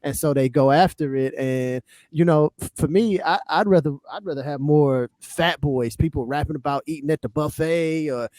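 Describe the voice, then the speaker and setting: A man's voice: a little high, moderate pace, few pauses, darkish tone, dry recording. An American man chatting casually as a music podcast panelist, giving opinions in a conversational, slangy way. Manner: conversational, frequent "you know what I'm saying".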